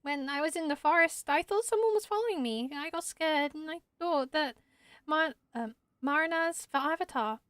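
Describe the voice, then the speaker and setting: Woman as a high-voiced timid little child. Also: normal speed, a bit bright, no room sound, studio quality. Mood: frightened. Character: timid, earnest, apologetic little village child. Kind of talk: fan voice-over of game dialogue.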